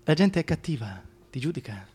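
An actor playing a bitter, scheming, deformed Shakespearean duke who pities himself, moving between declaimed verse and hushed confession in a radio drama. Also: male voice, fairly high pitch, very animated, fairly quick, husky, heavily acted. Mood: wounded self-pity. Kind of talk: theatrical radio drama monologue.

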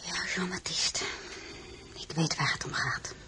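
An actress playing a modest but stubborn young crown princess in an audio drama, halting when flustered. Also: female voice, sits low, fairly even intonation, in a whisper. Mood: reluctant, tender. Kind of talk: Dutch audio drama acting with narration.

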